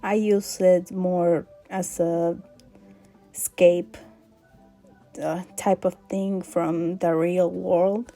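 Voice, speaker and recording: Woman w an accent, slow and mellow, lots of uhs, chatty art vlog vibe. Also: fairly low pitch, flat delivery, faint music behind. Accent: non-native English accent. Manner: hesitant, many fillers, soft laughs. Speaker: art vlogger. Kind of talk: casual sketchbook vlog chat.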